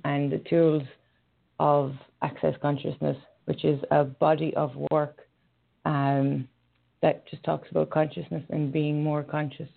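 Irish woman host, hesitant and filler-heavy, gravelly monotone, over a tinny call. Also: deep voice. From Ireland. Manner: hesitant, many fillers and restarts. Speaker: radio show host and horse rider. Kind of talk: casual online radio chat. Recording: phone-line call audio.

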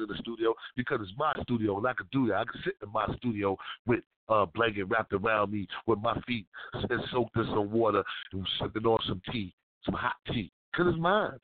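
A man's voice: mid-range voice, narrow, tinny audio. Stuffy-nosed laid-back man DJ. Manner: laid-back slangy patter. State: stuffy nose from a cold. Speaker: radio DJ host. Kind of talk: casual radio show talk. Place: his own small studio.

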